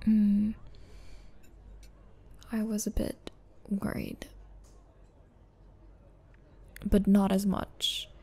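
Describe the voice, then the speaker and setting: Casting: actress as a tender, sorrowful young girlfriend, slow halting delivery, soft breathy half-whisper, ASMR roleplay. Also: mid-range voice, heavily acted. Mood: wistful, awkward. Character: tender, sad young girlfriend saying goodbye.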